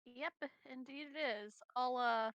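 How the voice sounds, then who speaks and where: A woman's voice: a little high, measured pace, fairly bright tone, clear, resonant tone, dry recording, dull audio. A woman chatting casually as a tabletop game player, informal and easygoing. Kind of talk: casual chat during a game.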